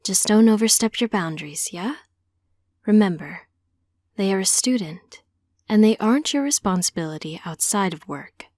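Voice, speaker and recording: A woman voicing a caring, gentle mother of a grown child in an audiobook story. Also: medium pitch, lively intonation, moderate pace, dry recording, studio quality, lightly acted. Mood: cautious.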